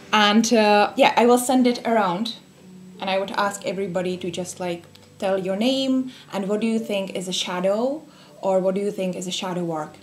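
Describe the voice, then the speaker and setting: A woman's voice: medium pitch, a little mellow. Young czech woman talking to a group in a room, friendly and full of ums, bit of faint noise behind. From Czech Republic. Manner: friendly, many fillers and restarts. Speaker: speaker giving a workshop talk. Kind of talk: casual spoken talk to a small group.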